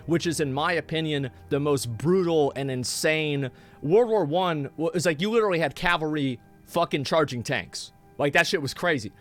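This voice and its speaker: A man streaming live talks in a loose, rambling way, swearing freely and restarting his sentences as he goes. His voice sits somewhat high for a man, with faint music playing underneath. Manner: rambling and profane, many restarts. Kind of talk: casual live-stream chat while gaming.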